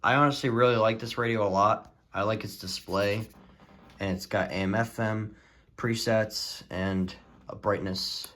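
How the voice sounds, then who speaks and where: A man talking casually in a halting, rambling way as he presents his collection in a vlog. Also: fairly low pitch, fairly even intonation, fairly bright tone. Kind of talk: casual show-and-tell vlog.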